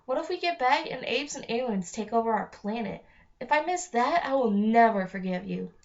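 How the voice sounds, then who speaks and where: Woman as an exasperated young boy, high-voiced. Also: lively intonation, normal speed, darkish tone. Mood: worried, exasperated. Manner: exasperated, questioning. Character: anxious, sarcastic young boy, the logical twin brother. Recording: dull lo-fi home recording.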